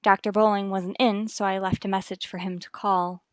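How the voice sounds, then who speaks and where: A woman's voice: medium pitch, flat delivery, normal speed, dry recording, studio quality, lightly acted. A woman playing a troubled, guarded wife and mother in an old-time radio soap, restrained and evasive in manner. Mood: guarded, weary.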